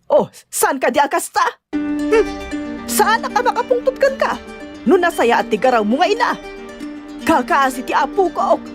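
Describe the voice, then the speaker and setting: A woman's voice: very high voice, very animated, moderate pace, long pauses, over music. A woman acting a blunt, exasperated older mother and grandmother in an Ilocano-accented radio-style drama, nagging and emphatic. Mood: angry reproach. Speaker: voice actress.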